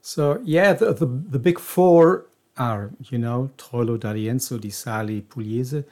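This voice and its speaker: A man's voice: mid-range voice, a little slow, a little mellow. A man co-hosting a podcast, explaining tango history in a casual, knowledgeable way with frequent restarts. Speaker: podcast co-host.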